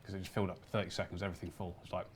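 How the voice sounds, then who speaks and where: A man chatting casually as a game developer, telling work stories in an animated way and acting out what people said. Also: low voice, racing, slight background noise. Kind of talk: casual chat, recounting work memories. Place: live stream room in an office.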